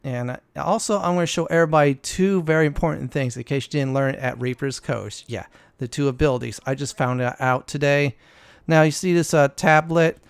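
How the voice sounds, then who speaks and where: A man's voice: a little high, brisk, creaky, clear, resonant tone, no room sound. A man hosting a gaming channel, giving casual, instructive walkthrough commentary. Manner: informal, instructive.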